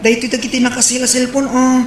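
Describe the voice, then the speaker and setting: A woman's voice: high-pitched, flat delivery, racing, natural voice. A woman playing a teasing, complaining neighbor in a comedy drama, with an Ilocano accent. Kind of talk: comedy radio-style drama acting. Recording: roomy echo, faint background noise.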